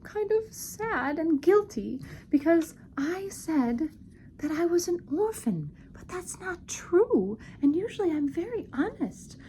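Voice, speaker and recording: A woman voicing a sad, guilty major general in a puppet show, with a drawn-out, plaintive delivery. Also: very high voice, sing-song, dark tone, slight background noise, voice pushed up, exaggerated voice, poor audio.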